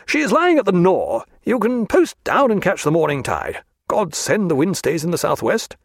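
A man voicing a bluff, hearty old admiral who blusters loudly in old-fashioned naval talk. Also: high-pitched, sing-song, moderate pace, no room sound, clean studio sound, voice pushed up, exaggerated voice.

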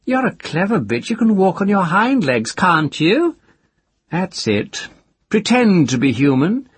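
A man's voice: high-pitched, very animated, unhurried, husky, no room sound, voice pushed up, exaggerated voice. A man voicing a cruel, mocking male captor who barks orders and sneers. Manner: sneering, commanding.

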